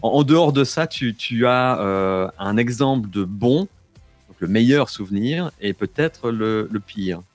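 A man's voice: fairly low pitch, expressive, unhurried, no echo, faint music behind. A man interviewing a radio host, asking questions in a hesitant way with many fillers. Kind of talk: casual interview chat.

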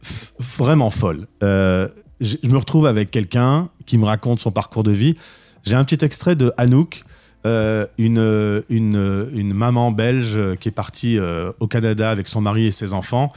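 A man's voice: fairly low pitch, normal speed, dark tone. A man hosting a radio show, chatting casually in an interview with enthusiasm and frequent stammered restarts. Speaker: radio host. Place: radio studio.

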